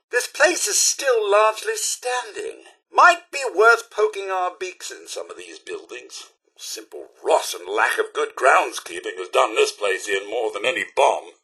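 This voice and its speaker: An actor playing a polite, fussy, wistful robot butler in a video game, with a British accent and a genteel, theatrical manner. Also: male voice, medium pitch, bright, forceful tone, husky, heavily acted. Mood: chipper, fussy. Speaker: companion character in a video game. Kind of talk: scripted game voice lines.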